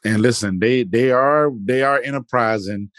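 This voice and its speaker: A man's voice: medium pitch, moderate pace, a bit bright, no echo, studio quality. A man hosting a podcast interview, talking loosely with lots of fillers and restarts. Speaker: podcast host. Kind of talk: casual interview chat.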